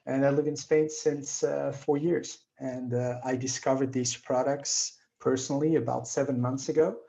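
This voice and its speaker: Belgian man in his fifties, mellow. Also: mid-range voice, fairly even intonation, moderate pace, creaky. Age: 50s. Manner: earnest, explanatory, slightly non-native phrasing. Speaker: guest speaker. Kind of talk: presentation on a video call. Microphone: video call.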